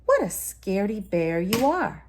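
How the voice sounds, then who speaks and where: A woman voicing a dismissive but kind father bear in a storybook, with emphatic drawn-out words. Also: mid-range voice, very animated, darkish tone. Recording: noisy room, home recording.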